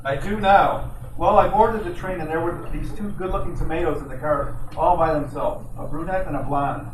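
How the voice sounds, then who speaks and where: A man's voice: a little high, moderate pace, close to an everyday voice. A man playing a wisecracking, streetwise 1940s private detective in old time radio style, telling his story in slang. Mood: recounting. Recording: echoey, distant room with loud noise.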